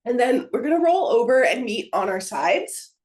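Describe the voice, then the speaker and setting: Hoarse woman, pilates instructor, patient step-by-step cueing, echoey room. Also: a little high, normal speed. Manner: calm, encouraging step-by-step cueing. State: hoarse, getting over a cold. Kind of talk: guided pilates class cues. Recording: roomy echo.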